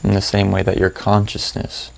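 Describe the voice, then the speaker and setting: A man leading a guided meditation in a calm, unhurried, conversational way, with long pauses and plain, informal wording. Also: on the low side, creaky.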